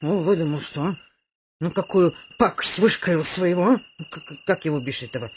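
Актриса играет властную, ворчливую пожилую хозяйку дома в театральной манере комедийного радиоспектакля. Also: female voice, on the low side, animated, moderate pace, a little hiss or hum, natural voice. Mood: grumbling, bossy. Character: bossy, grumbling old lady of the house. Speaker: actress in a radio comedy play. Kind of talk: theatrical radio comedy acting.

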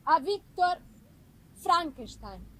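A woman giving an expressive, deliberate dramatic reading of literary prose, with weighty pauses. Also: very high voice. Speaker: reader of a classic novel. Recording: live musical accompaniment behind the voice. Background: live music.

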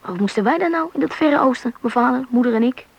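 A woman's voice: sits high, animated, fast, creaky, husky, full character voice. A woman playing a curious, anxious schoolboy who narrates and acts in a Dutch radio play. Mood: narrating, wondering.

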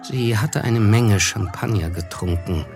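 A low, composed voice of a reserved young narrator looking back on his past. He tells it in measured radio play prose, with faint music underneath. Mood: reflective.

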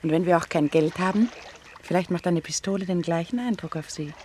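An actress playing a dreamy gangster's bride in a German radio comedy, sighing and sweetly cajoling. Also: female voice, fairly low pitch, fairly quick, darkish tone, a little hiss or hum, lightly acted. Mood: coaxing.

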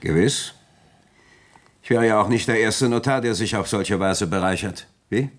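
Deep male voice, actor playing suave notary, articulate, condescending, self-assured, radio drama. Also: normal speed, close to an everyday voice. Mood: smooth, explaining. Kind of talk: German radio play acting.

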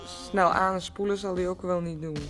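An actress playing a tipsy, teasing, dreamy wife in a Dutch radio play, speaking haltingly and repeating her words. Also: on the low side, fairly even intonation, natural voice. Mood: teasing, languid. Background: music playing.

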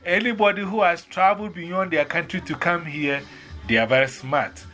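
A man's voice: on the high side, normal speed, sharp tone, faint music behind. A man from Ghana with a Ghanaian accent, telling stories in casual chat and acting out both sides of the conversations.

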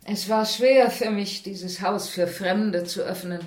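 A woman's voice: mid-range voice, slow, a little mellow, roomy sound, close to an everyday voice. An actress playing a polite, anxiously curious middle-aged London landlady in a radio drama. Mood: confiding.

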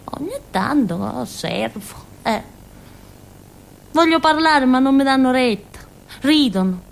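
Childlike village simpleton, theatre monologue; southern Italian accent, highish pitch, very animated melody, chatty and rambling. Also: female voice, slow, gravelly, slight background noise, full character voice, rough recording. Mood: simple, candid. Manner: rambling, giggly, with frequent interjections and tag questions. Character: childlike, chatty, naive village fool woman in Calabria.

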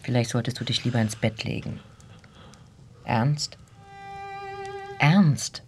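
An actress in a German radio drama playing the weary, reproachful wife of an ailing writer. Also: female voice, very deep voice, slow pace, a little mellow, faint music behind. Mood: worried, reproachful. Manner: reproachful, exasperated.

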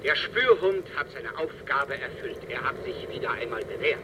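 A man's voice: medium pitch, animated, normal speed, natural voice. A male actor playing a sensationalist TV reporter in a radio play, giving urgent, emphatic live manhunt commentary. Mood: triumphant, solemn. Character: excited, sensationalist TV reporter covering a manhunt from helicopter. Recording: noisy broadcast with helicopter roar behind.

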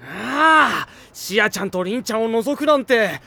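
A smug young man from an anime audio drama, polite and fawning. His high voice is very animated, with theatrical indignation. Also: normal speed, exaggerated voice. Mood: mock indignant.

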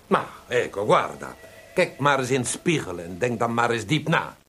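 A man's voice: mid-range voice, big pitch swings, normal speed, sharp tone. An actor in a radio play voicing a flamboyant, teasing Italian libertine poet with an Italian accent, theatrically mixing Italian exclamations into his talk. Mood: sly, teasing. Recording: noisy archived radio play recording.

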